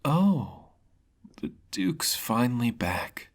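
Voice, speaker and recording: A narrator voicing a calm, delighted killer, a smiling prisoner who is nonchalant and quietly menacing, in a fantasy story. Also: medium pitch, drawn-out words, full character voice.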